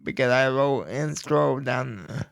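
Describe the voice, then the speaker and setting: A young man hosting his own podcast, talking slowly and deliberately about everyday life, with pauses and restarts. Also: medium pitch, creaky. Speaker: podcast host. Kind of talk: casual personal talk.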